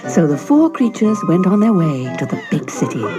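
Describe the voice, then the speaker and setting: Animated woman storyteller over music. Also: on the low side, normal speed. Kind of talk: expressive scripted fairy tale narration. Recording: loud music behind the narration.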